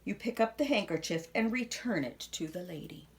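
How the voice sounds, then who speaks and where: A woman reading a children's picture book aloud expressively, with dramatic pauses and hushed asides. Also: medium pitch, animated, a little hiss or hum.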